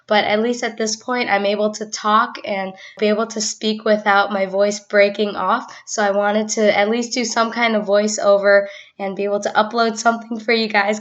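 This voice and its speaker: A young woman with a hoarse voice, still recovering from losing it, recording a casual voiceover. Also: mid-range voice, fairly bright tone, highs cut off. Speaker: art vlogger. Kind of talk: voiceover narration.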